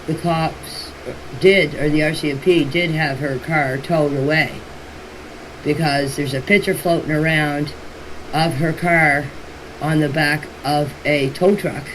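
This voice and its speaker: Halting woman on a noisy voice clip. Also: low voice. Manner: halting, repetitive, plain-spoken phrasing. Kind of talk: casual voice message recounting events. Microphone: phone voice message. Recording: noisy voice clip, steady background hiss.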